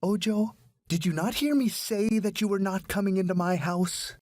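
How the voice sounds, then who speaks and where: A man's voice: high-pitched, normal speed, a little mellow, no echo, voice pushed up, close to an everyday voice. A man voicing an angry, unforgiving father in a storybook, indignant and scolding. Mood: outraged. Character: angry, unforgiving father rejecting his son. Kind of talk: audiobook story character.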